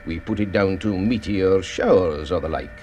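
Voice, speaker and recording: A man playing a grave, secretive radio astronomer with a Scottish accent, phrasing deliberately in a radio drama. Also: low voice, darkish tone, slight background noise, lightly acted. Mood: guarded, confiding.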